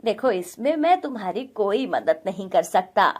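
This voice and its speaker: A woman's voice: mid-range voice, very animated, moderate pace, a little mellow, voice pushed down. A woman voicing a middle-aged male factory boss who talks in a dismissive manner in a story.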